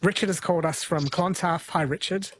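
A man's voice: sits high, a little fast, a bit bright. A man hosting a talk radio show, introducing callers with short, affirming responses. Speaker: radio host.

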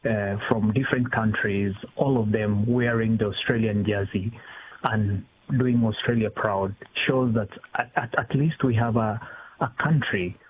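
A middle-aged man giving spontaneous interview answers, haltingly, repeating words as he thinks. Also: lowish voice, steady tone, breathy edge. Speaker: interview guest, community executive officer. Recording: tinny phone line with hiss.

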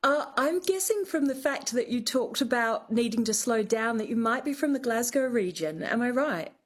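A woman guest on a radio show, explaining accents in a thoughtful, measured way. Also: fairly high pitch, expressive. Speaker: dialect expert guest. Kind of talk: radio interview.

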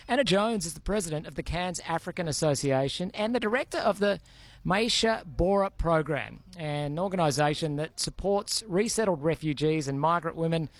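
Male radio presenter, slightly high voice, smooth scripted delivery. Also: no echo. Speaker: radio host.

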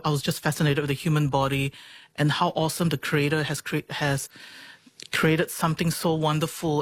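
A woman's voice: sits low, dry recording. A woman in her forties with a Singaporean accent, talking earnestly and expressively about herself as an interview guest. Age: 40s. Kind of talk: reflective personal interview.

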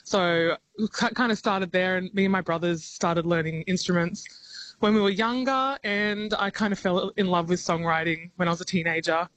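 An Australian woman, a singer-songwriter, talking thoughtfully in a radio interview over the phone, laughing often and pausing with ums. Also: on the low side, fairly bright tone, dry recording, rough recording. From regional New South Wales, Australia. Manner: thoughtful, giggly, many ums and restarts.

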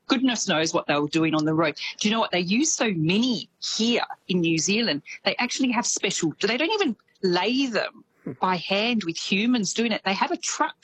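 A woman with an Australian accent, a New Zealand correspondent telling a news story in a lively, animated way. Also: medium pitch, slight background noise, rough recording. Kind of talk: radio correspondent chat. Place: based in Dunedin, on a remote line to the studio.

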